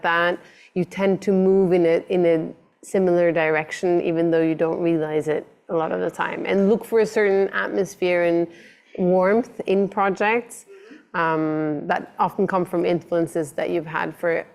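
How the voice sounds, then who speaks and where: Casting: low-voiced woman guest designer, reflective, ums before ideas. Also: darkish tone. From grew up in Italy. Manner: thoughtful, slow to start, many fillers. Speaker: interior designer and architect guest. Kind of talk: conversational interview answers.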